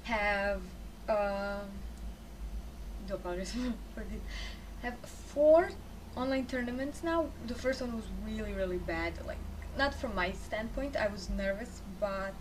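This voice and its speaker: A woman's voice: medium pitch, measured pace, recorded from a distance, noisy background. A young woman from Croatia with a Croatian accent, speaking English as a second language, chatting loosely in an interview about her work as a game caster, often restarting her sentences. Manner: rambling, self-correcting, chatty. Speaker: esports caster, interview guest. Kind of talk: casual answers to interview questions.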